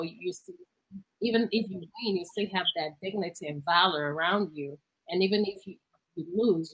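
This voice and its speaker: A woman's voice: mid-range voice, a bit bright, breathy edge, low-quality recording. Earnest woman from Cameroon. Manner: earnest, motivational, repeats words when searching. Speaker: guest. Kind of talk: conversational interview answer. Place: television studio.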